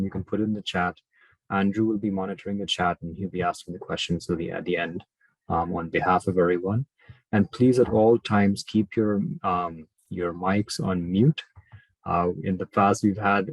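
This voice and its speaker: Deep, husky man hosting a video call, hesitant with many restarts, dry sound. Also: no room sound, rough recording. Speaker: host, committee social media officer. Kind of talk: introducing a webinar.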